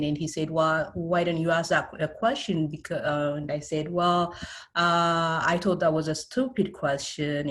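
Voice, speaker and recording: A middle-aged woman speaks as an interview guest, telling of her own experience. Her voice is low for a woman, and she has an Ethiopian accent. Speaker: interview guest, IT specialist and career mentor. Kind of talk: personal account in an interview.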